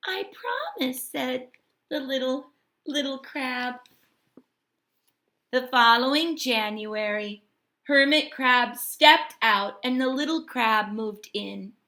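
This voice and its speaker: A woman teacher reading a storybook aloud and talking to her students in an expressive, deliberate way. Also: high voice. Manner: expressive, deliberate, addressing children directly.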